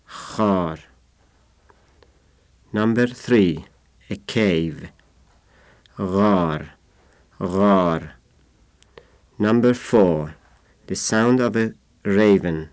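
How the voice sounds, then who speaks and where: A man of thirty-five from Yazd with an Iranian accent, a native Persian speaker, reading out a numbered word list in a deliberate way. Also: low voice, a little mellow, no echo. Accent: Iranian (Yazdi). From Yazd, Iran. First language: Persian (Yazdi dialect). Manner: deliberate, isolated words with numbered glosses. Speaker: language informant.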